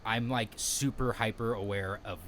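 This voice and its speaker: A man's voice: on the low side, steady tone, normal speed, a bit bright, a little hiss or hum. A man in his thirties co-hosting a podcast, chatting in a loose, candid, rambling way that often trails off. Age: 30s. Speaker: podcast co-host. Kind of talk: casual chat.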